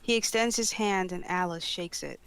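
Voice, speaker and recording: A woman's voice: fairly low pitch, moderate pace, gravelly, fairly bright tone, clean tone, low-quality recording. A woman reading scripted stage directions as the narrator.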